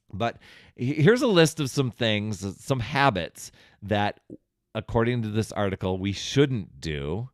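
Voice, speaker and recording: A man's voice: lowish voice, no echo, clean studio sound. A man hosting a solo podcast, talking in a casual, chatty, conversational way. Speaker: podcast host.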